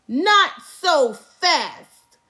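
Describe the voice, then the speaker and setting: A woman puts on a villainous substitute teacher from a storybook, a stern witch of a woman. She hisses and snaps out orders slowly, in a high voice that swings up and down with menace. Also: very animated, pushed and bright, exaggerated voice. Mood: menacing. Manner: snapping, hissing, commanding. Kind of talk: children's storybook read-aloud.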